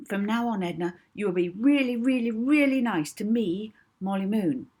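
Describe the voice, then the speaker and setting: A woman voicing a young girl hypnotist in an audiobook, speaking in a deliberate, coaxing and commanding way. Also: medium pitch, lively intonation, a little mellow, pitched above the performer's own voice, lightly acted. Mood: firm, commanding. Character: young orphan girl hypnotist, soothing, bold, bossy. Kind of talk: audiobook character voice.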